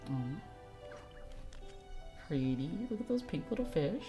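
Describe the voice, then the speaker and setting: A woman's voice: low voice, sing-song, unhurried, music in the background. A woman streaming a video game, giving casual, playful live commentary in an informal way. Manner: informal, playful, reacting aloud.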